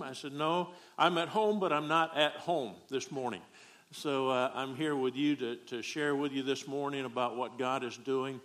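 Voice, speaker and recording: A man's voice: mid-range voice, fairly bright tone. A middle-aged man from Texas with a Southern accent, preaching a folksy, joking sermon as a guest preacher.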